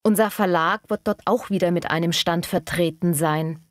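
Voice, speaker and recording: A woman playing a friendly publishing employee in a scripted language-lesson dialogue, speaking courteously and with clear enunciation. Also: lowish voice, fairly even intonation, moderate pace, no echo, studio quality, lightly acted. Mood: friendly, asking.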